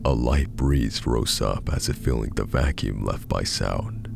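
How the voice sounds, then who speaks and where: A man narrates horror fiction in an extremely low voice. He reads slowly and with measured drama, his tone thick with gravel. Music plays clearly underneath. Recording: music behind the voice.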